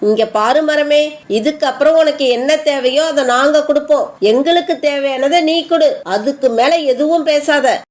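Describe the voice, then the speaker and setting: A woman's voice: high voice, moderate pace, darkish tone, close to an everyday voice. A woman voicing a haughty, greedy female crow in a children's cartoon, with a bossy, sneering manner.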